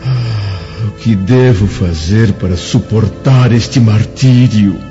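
A grieving, proud Roman senator delivers a tormented radio monologue. His deep voice moves slowly and gravely. Prominent music plays behind the slightly muffled recording. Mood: anguished, remorseful. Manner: grave, sighing, anguished theatrical monologue. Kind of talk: radio drama acting.

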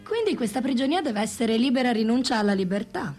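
A woman's voice: a little high, expressive, fast, darkish tone, faint music behind, lightly acted. An actress playing a cultured, questioning female intellectual in a radio drama, speaking articulately. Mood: earnest, probing. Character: cultured, probing woman, intellectual companion of a philosopher.

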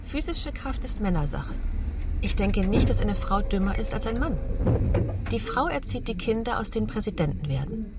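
A woman's voice: mid-range voice, sing-song, normal speed, close to an everyday voice. A woman voicing a confident, plain-spoken interviewee as a documentary voice-over. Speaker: voice-over translator. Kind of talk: German voice-over of a street interview. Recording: slightly distant, clear vehicle noise.

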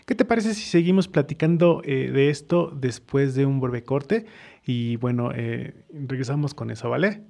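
A man's voice: medium pitch, lively intonation, moderate pace, gravelly, darkish tone. A Mexican man hosting a conversational radio interview. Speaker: radio host.

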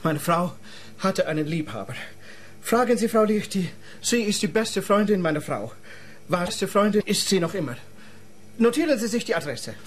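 An actor playing a slick lawyer in a radio play, emphatic and wheedling as a suspect husband. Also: male voice, high-pitched, lively intonation, normal speed, pauses often. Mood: confiding. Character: slick, pleading lawyer husband protesting his innocence.

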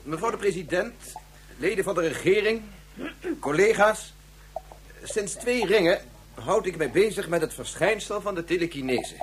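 A man's voice: sits high, expressive, normal speed. A man playing a precise, pedantic male scientist in a science fiction radio drama. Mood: pedantic, formal. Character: male scientist Yukio, pedantic lecturer turning distraught father. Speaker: actor in a radio play. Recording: noisy radio play recording with hum.

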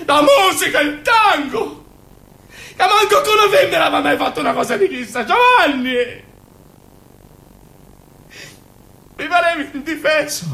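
An actor playing a tormented Sicilian man raging at his lover, with a strained gravelly shout and a Sicilian accent, in a theatre monologue. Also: extremely high pitch, exaggerated voice. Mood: anguished. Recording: echoey theatre stage.

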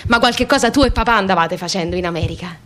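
An actress playing a young, insistent daughter of emigrants in radio theatre, speaking. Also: female voice, mid-range voice, quick pace, a bit bright, close to an everyday voice. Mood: insistent, curious. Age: young adult. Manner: insistent, repetitive questioning. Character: insistent, curious young daughter questioning her emigrant mother. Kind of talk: radio theatre dialogue and monologue.

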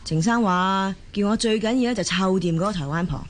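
Radio drama role: busy working mum played by a woman, Hong Kong Cantonese, expressive, easily exasperated, faint noise behind. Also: mid-range voice, fairly quick, lightly acted. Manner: expressive, colloquial.

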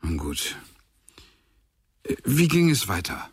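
Radio play: a condescending celestial superior, husky and measured, swinging pitch, dry studio sound. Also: male voice, big pitch swings, dry recording, heavily acted. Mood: sceptical. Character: sceptical senior male cherub questioning an angel. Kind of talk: radio drama acting.

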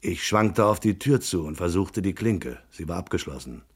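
An actor playing a hard-boiled, laconic detective in a noir radio drama, narrating in a terse, deadpan way. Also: male voice, sits low, normal speed, breathy edge. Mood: dry, weary. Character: hard-boiled male private detective, laconic and world-weary.

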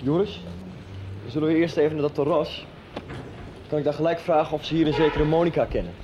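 A man's voice: a little high, brisk, lo-fi sound, natural voice. A young actor playing a friendly, easygoing young man who shows a visitor around, in a radio soap with street noise. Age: young adult. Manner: friendly, relaxed, guiding. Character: Bert, a young Dutchman working in Berlin, helpful and easygoing. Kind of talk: 1990s radio soap acting. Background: city traffic and street sounds.

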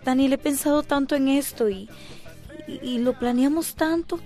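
Anxious teen girl, high voice, halting delivery, Costa Rican accent, radio drama, music behind. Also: mellow. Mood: doubtful, nervous. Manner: hesitant. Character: nervous, doubtful teenage girl.

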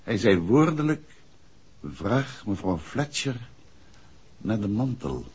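An actor playing a courteous, inquisitive gentleman detective in an old radio drama, speaking politely and probingly. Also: on the low side, big pitch swings, slow pace, husky, heavily acted. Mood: probing, measured. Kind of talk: 1960s Dutch radio drama acting. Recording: muffled old radio recording.

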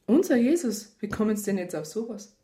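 A woman's voice: mid-range voice, sing-song, a little fast, warm tone, lightly acted. An actress playing a worldly, disillusioned woman in a radio drama, frank, dry and teasing in a natural style. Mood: dryly sceptical. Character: worldly, disillusioned, flirtatious Bavarian woman, bluntly independent.

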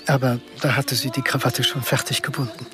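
An actor playing an ashamed middle-aged man in a radio drama, speaking confessionally in deliberate short phrases. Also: medium pitch, flat delivery, music in the background, close to an everyday voice. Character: ashamed middle-aged man who secretly lost his job. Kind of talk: German radio drama acting, monologue.

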